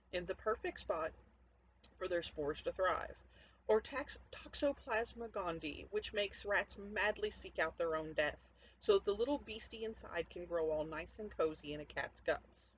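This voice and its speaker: A woman author speaking informally as she presents her own fiction from home. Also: medium pitch. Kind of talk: casual introduction to a home story reading. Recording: dull lo-fi audio, noticeable background noise.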